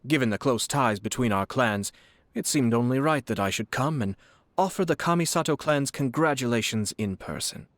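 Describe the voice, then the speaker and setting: An actor voicing a shrewd young male noble commissioner in a video game, courteous and measured. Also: mid-range voice, lively intonation, fairly bright tone, natural voice. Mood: gracious. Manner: courteous, measured, politely probing.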